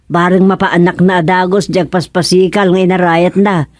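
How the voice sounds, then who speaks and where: A woman's voice: fairly low pitch, little pitch movement, normal speed, darkish tone, slight background noise, natural voice. A woman playing a talkative, fussing village woman in a radio comedy-drama, with an Ilocano accent and an exclamatory, questioning way of talking. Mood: animated, urgent.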